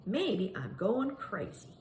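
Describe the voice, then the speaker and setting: A woman's voice: mid-range voice, sing-song, takes it slowly, darkish tone. A woman voicing a mean, hungry male lion who mutters in puzzlement, in a children's storybook. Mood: bewildered. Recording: echoey room with faint background noise.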